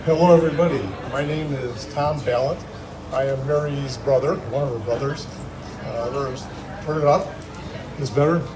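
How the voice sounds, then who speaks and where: A man's voice: medium pitch, normal speed, background noise. A man stepping up to speak at a memorial gathering, hesitantly introducing himself and checking the microphone. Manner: hesitant, testing the mic. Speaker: guest speaker, brother of the widow. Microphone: handheld microphone.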